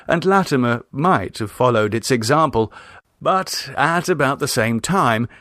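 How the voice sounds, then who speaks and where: Audiobook reader: man, mid-range voice, wry measured storytelling, crisp wording, close dry sound. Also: medium pitch, fairly bright tone, dry recording. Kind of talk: literary audiobook narration.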